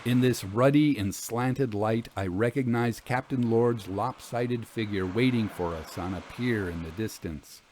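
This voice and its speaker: A man reading scripted literary narration as a storyteller, with formal, measured phrasing. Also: medium pitch, a little mellow, a little hiss or hum.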